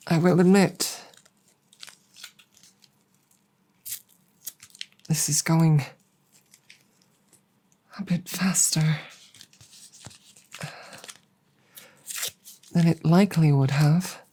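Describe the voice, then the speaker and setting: A woman playing a proud but bashful dragon girlfriend in an intimate audio roleplay, with formal wording, a breathy voice and halting, sighing delivery. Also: low-pitched, full character voice. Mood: grudging, bashful. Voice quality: husky, breathy. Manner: formal wording, hesitant, halting, soft sighs.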